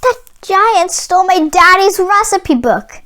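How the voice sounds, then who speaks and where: A woman's voice: extremely high pitch, animated, takes it slowly, pushed and bright, pitched above the performer's own voice, exaggerated voice. A woman reading a storybook, playing a brave, earnest young cowboy boy. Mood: determined. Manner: earnest, resolute.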